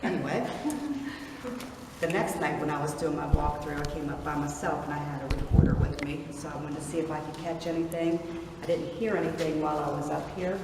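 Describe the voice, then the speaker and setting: A middle-aged woman with an Appalachian accent, leading a prison tour and telling stories loudly to her group. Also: sits low, fairly even intonation, fairly quick. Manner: projecting loudly to a group, storytelling. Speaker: tour guide. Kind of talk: guided tour talk to a group. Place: large empty rooms of an old prison at night. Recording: echoey rooms, distant and noisy.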